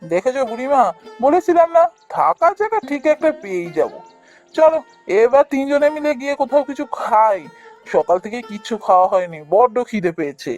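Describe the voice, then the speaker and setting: A woman's voice: a little high, sing-song, a little fast, a little mellow, soft background music. A woman voicing a principled, kind-hearted younger brother in a fairy-tale cartoon, earnest and firm. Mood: upbeat.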